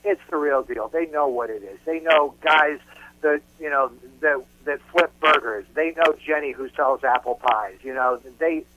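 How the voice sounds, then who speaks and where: A man's voice: on the high side, moderate pace. A man speaking as a musician guest in a casual radio interview, emphatic and often repeating his phrases for weight. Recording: dull, noisy phone-like radio line.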